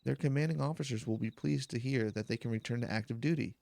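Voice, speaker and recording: Guy reading a polite stiff official in a fantasy game, solemn, formal, slightly croaky voice. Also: medium pitch, fast talker, a little mellow, no echo, natural voice. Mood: solemn gratitude. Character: courteous, formal official giving thanks and praise. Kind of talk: game dialogue read aloud.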